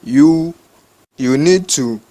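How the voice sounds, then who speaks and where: A man with a Ghanaian accent gives unscripted step-by-step instructions in a halting, repetitive way, as a tutorial host. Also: on the high side, warm tone, slight background noise.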